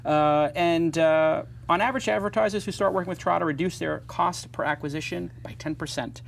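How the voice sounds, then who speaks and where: A man hosting a casual startup interview show, talking fast and joking, with opinionated asides and sponsor reads. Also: fairly high pitch, creaky, a little hiss or hum. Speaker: host.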